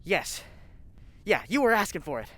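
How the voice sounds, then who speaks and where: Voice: medium pitch, very animated, moderate pace, a bit bright, dry recording. An actor playing a zealous, spiteful scientist in an audio drama, bitter, sarcastic and insistent. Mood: defensive gloating. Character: zealous, spiteful lab scientist named Colin. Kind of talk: scripted audio drama acting.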